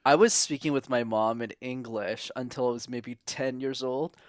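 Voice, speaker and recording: A young man from Montreal, a podcast guest, telling his story in an earnest, animated way with quick asides. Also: medium pitch, dry recording, clean studio sound. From Montreal, Canada. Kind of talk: casual interview.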